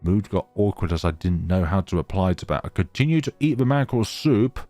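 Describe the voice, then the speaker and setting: A man's voice: low voice, expressive, fairly quick, dark tone, no echo, faint music behind. A man narrating a visual novel as a let's player, reading expressively and slipping into casual commentary.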